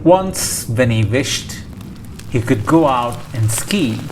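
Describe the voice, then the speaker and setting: A man reading a children's storybook aloud as scripted narration, measured and pausing between short phrases. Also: mid-range voice, expressive. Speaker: storybook reader. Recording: clear background noise, ambience. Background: ocean ambience sound effects.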